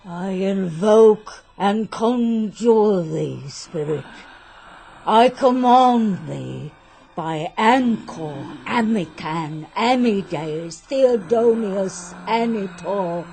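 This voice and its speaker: A woman's voice: mid-range voice, extremely slow, gravelly, a little mellow, breathy edge, exaggerated voice. A woman playing a commanding witch who chants spells in a solemn ritual manner, in a vintage radio drama. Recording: noisy old radio recording.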